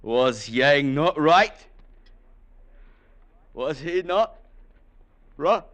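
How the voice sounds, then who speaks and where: An actor playing a proud, ruthless Chinese warlord general with imperious, formal speech, in vintage radio drama style. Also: male voice, a little high, lively intonation, a bit bright, dull audio, slight background noise, heavily acted. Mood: defiant, commanding. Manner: imperious, grandly formal, deliberate. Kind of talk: 1940s-50s radio drama acting. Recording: vintage radio broadcast recording.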